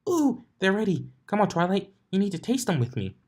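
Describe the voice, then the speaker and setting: A storyteller voicing a hyper, cheery female pony baker, excitable, bubbly and chatty. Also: mid-range voice, a little fast, voice pushed up. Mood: excited, cheery.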